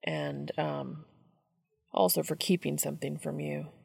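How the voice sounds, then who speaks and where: A gruff grown man from a narrated story, voiced by a woman reader. He speaks low and with heavy creak, awkward and full of regret. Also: level tone, measured pace, darkish tone, full character voice. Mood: awkward, apologetic.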